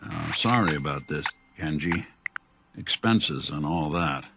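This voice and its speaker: An actor playing a world-weary old robot detective in a video game, laconic and dry in a hardboiled noir style. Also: male voice, a bass voice, unhurried, loud music behind the voice, heavily acted. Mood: calm, reassuring. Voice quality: gravelly.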